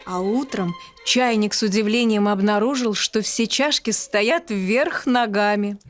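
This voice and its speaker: A woman storyteller narrating a children's bedtime tale in an expressive, articulate way. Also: medium pitch, a little slow, faint music behind.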